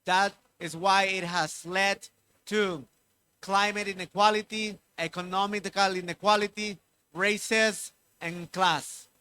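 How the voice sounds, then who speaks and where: A man moderating a panel discussion, speaking haltingly and repeating words. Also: high-pitched, steady tone, bright, forceful tone, clear, resonant tone, no room sound. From Ecuador.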